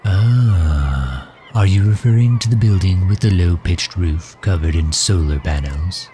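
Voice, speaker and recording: Casting: suave, protective male villain for ASMR roleplay played by a man, very low voice, courtly and gentle, outdoor bird ambience behind. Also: a little hiss or hum. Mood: proud, explanatory. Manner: gentle, courtly, measured and formal. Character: suave, protective male villain doting on his captive guest.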